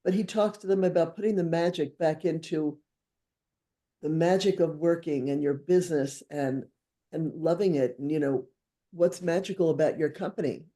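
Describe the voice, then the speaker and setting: A man's voice: on the high side, normal speed, mellow. A man co-hosting a conversation, speaking earnestly and supportively about a guest. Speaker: co-host, event booker. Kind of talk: casual conversation.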